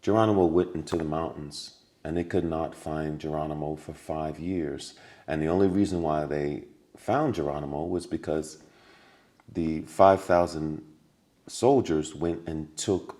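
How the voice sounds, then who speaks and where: A man's voice: very deep voice, darkish tone. A man talking as a podcast guest, telling stories earnestly with many restarts and repetitions. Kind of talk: casual conversation.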